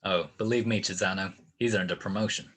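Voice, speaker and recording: An actor playing a tough, sardonic private detective and narrator in 1940s radio style. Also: male voice, deep voice, fairly even intonation, brisk, fairly bright tone, breathy edge. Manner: sardonic, wisecracking.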